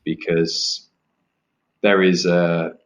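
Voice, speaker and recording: A man's voice: low voice, little pitch movement, drawn-out words. A man from England, a podcast guest who explains his views thoughtfully and at length. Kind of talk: explanatory interview talk.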